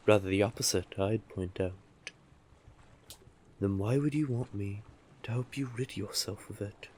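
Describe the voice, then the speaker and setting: A cunning male sea witch, acted by a man speaks low and very slowly, with deliberate pauses. He is coaxing and teasing, dropping condescending endearments as in an audio roleplay. Ambient noise runs clearly beneath the voice. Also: a little mellow. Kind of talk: scripted roleplay audio drama, addressing the listener. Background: ambient sound effects.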